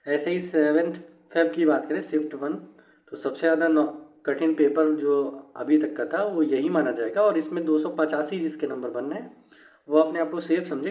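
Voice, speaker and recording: Male explainer, slightly high voice, halting informal delivery, very roomy sound. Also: darkish tone. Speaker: exam news presenter. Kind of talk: informal explanatory commentary on exam cut-off scores. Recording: echoey room.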